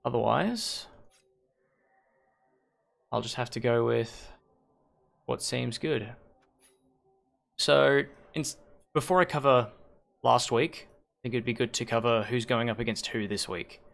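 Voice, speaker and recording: A hoarse man hosting a league stream on a headset mic, rambling and correcting himself as he thinks aloud. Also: mid-range voice, takes it slowly, long pauses. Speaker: league host and shoutcaster. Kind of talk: casual livestream commentary.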